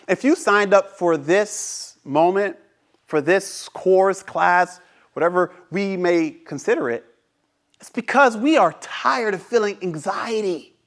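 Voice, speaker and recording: A man whose first language is Haitian Creole, giving a prepared inspirational speech as a guest at a speaking club, turning between loud and hushed and asking rhetorical questions. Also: high-pitched.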